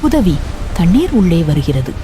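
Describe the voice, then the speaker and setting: Doubting, playful ant pal for a kids' story, performed by a female narrator, lowish voice, lively delivery. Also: unhurried. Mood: alarmed. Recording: music behind the voice.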